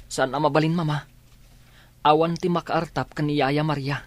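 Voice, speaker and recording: A man's voice: on the high side, fairly even intonation, moderate pace, close to an everyday voice. An actor with a Filipino Ilocano accent playing an earnest young son who pleads respectfully for his nanny in a radio drama. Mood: concerned.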